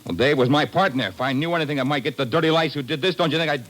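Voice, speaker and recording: An actor playing a tough, guarded, resentful pilot in a vintage radio drama, with a gruff, defensive manner. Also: male voice, on the high side, very fast, a bit bright, lightly acted. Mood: defensive, bitter.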